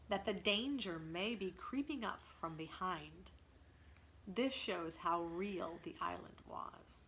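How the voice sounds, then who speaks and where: A woman with a bright, forceful voice at a medium pitch reads a story aloud. She sounds like a teacher, expressive and instructive in how she delivers the text. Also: a little hiss or hum. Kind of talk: storybook read-aloud with explanations.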